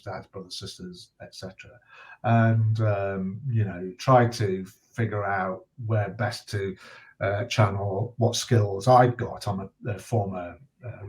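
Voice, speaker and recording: A man in his sixties with a fairly low voice talks earnestly as an interview guest. He is measured and reflective, often pausing to find the next phrase, in the manner of a retired journalist now campaigning for a cause. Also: darkish tone.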